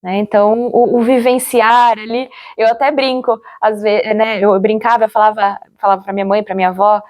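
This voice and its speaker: A woman's voice: mid-range voice, level tone, normal speed, darkish tone. A young woman with a Brazilian accent talking casually and reflectively in an interview, chatty, often repeating words for emphasis. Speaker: former radio drama actress, interviewee.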